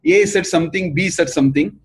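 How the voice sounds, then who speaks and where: A man's voice: sits high, fairly quick, slight background noise. A middle-aged man with an Indian accent giving an earnest lecture, repeating his points for emphasis. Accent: Indian English. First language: not English. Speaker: professor giving a research talk.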